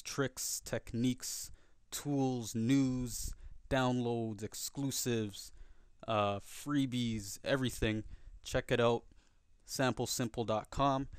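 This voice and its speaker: A man narrating a software tutorial casually and conversationally, often checking in with the listener, recorded as a close voice-over. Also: mid-range voice, clean tone, no echo. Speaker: tutorial host.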